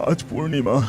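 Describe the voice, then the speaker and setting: A man playing a weary, tender village father in a cartoon, speaking slowly. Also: fairly high pitch, background noise. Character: sick, weary, tender village father; also gruff, haughty landlord. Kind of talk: Bengali cartoon voice acting. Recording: loud music under the voice.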